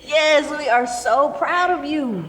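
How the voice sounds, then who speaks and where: A woman's voice: high-pitched, animated, takes it slowly. A woman acting as a proud, reassuring mother of faith in a live stage play. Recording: echoey hall, faint background noise.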